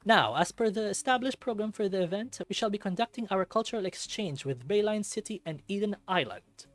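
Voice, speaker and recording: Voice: mid-range voice, animated, fairly quick, dry recording, voice pushed up, lightly acted. A narrator voicing a showy, self-important male fennec host who makes grand, projected announcements in a visual novel. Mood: grand, gracious. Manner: pompous, projected announcer delivery.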